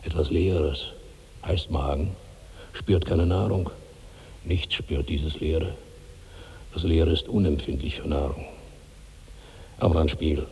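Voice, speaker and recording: An actor in a 1960s radio drama playing an obese, sickly, hungry old megalomaniac who speaks theatrically in broken, imperious phrases. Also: male voice, extremely low pitch, fairly even intonation, takes it slowly, long pauses, dark tone, muffled recording, exaggerated voice. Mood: suffering, brooding. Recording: hissy old radio recording, long reverb.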